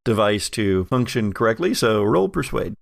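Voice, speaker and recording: A man narrating a horror tabletop game as game master, halting and pausing mid-phrase as he improvises the scene. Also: lowish voice, sing-song, gravelly, dry recording, studio quality.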